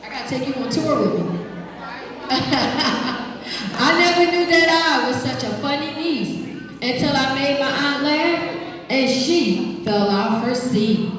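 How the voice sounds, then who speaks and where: A woman, an energetic children's author reading aloud live to a school audience, projecting and engaging listeners with questions. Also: high voice, slow pace, crowd noise. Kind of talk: live read-aloud, call-and-response with children. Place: large echoey room at an elementary school. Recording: very echoey hall, distant mic.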